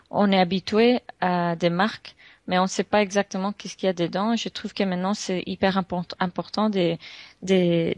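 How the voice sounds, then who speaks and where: Halting woman. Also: on the low side, dry recording. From Honduras. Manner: halting, many restarts and fillers. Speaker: guest entrepreneur. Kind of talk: interview answers.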